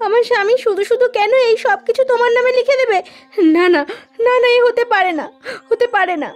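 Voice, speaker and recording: A woman's voice: extremely high pitch, a little fast. A woman voicing a distressed, indignant wife in a melodramatic cartoon, pleading over music. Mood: distraught. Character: distressed, indignant wife of missing farm owner.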